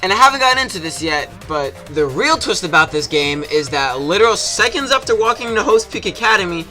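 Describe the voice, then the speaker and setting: Guy reviewing games, pretty high voice, super animated and sarcastic, music going behind him. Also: a little fast. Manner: sarcastic, exaggerated delivery. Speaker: video game reviewer. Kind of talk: comedic scripted review narration.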